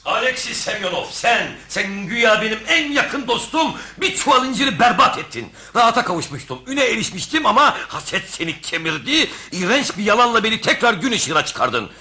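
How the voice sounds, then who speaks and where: A bitter, betrayed friend in a radio play, hurling accusations theatrically. The voice is high, creaky and very sharp. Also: male voice, big pitch swings, brisk, hardly any pauses, full character voice. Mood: bitter reproach. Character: bitter, aggrieved male friend turned enemy.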